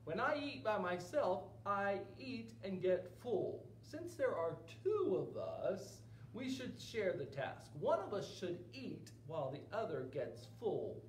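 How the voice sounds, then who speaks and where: A man's voice: high voice, pitched above the performer's own voice, full character voice. A man voicing a sly, easygoing male turtle in a storybook tale, drawing out the words wearily. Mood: coaxing. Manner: slow, drawn-out, weary. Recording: roomy with steady background noise.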